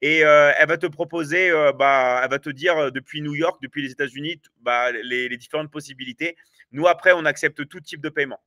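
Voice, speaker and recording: A man's voice: fairly high pitch, a little fast, pushed and bright, no room sound. A man hosting a live online sales stream, talking eagerly with lots of fillers and repeats. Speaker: live show host. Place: at home in South America.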